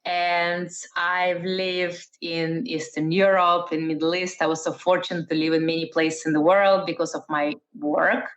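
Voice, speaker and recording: A middle-aged woman, raised in the Netherlands, speaks in a fairly low voice. She answers interview questions thoughtfully and restarts her sentences often. The recording sounds dull and lo-fi. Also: level tone, measured pace, few pauses. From Russia, raised in the Netherlands. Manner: thoughtful, many fillers and restarts. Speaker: interview guest, entrepreneur.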